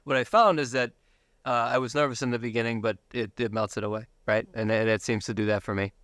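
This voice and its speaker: An American man talking casually as an interview guest, sharing personal testimony in a halting way, repeating words and checking for agreement. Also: lowish voice, fairly even intonation, fairly bright tone, no room sound. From Buffalo, United States.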